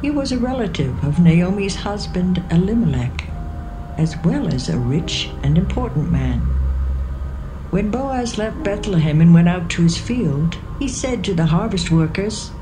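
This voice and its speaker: A woman narrating scripture from a script in a measured storytelling manner. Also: lowish voice, sing-song, noisy background. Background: music.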